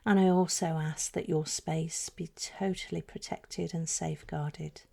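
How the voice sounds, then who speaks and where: A woman leading a guided meditation in a soft, breathy voice that often trails toward a whisper, phrasing slowly and soothingly with deliberate pauses. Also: low-pitched, steady tone.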